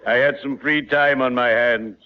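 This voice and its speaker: An actor playing a courtly, morbidly cheerful undertaker in a 1940s radio comedy, speaking in a mournful, formal way. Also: male voice, mid-range voice, steady tone, close to an everyday voice. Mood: solemnly genial. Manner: mournful, drawn-out, formal delivery. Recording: muffled 1940s radio recording.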